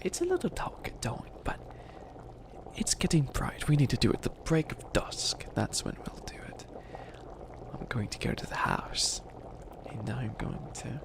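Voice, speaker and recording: Man playing a theatrical, creaky-voiced bumbling witch. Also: mid-range voice, background noise. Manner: theatrical, gleefully scheming, rambling. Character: bumbling, dramatic bunny witch who thinks himself evil. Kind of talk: comedic ASMR roleplay.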